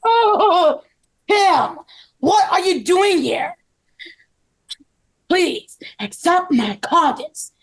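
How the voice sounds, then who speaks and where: Woman as a very high-voiced crooked tycoon villain. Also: very animated, drawn-out words, pauses often, full character voice. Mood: feigned sympathy. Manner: indignant, defiant. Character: crooked, blustering male hotel tycoon villain.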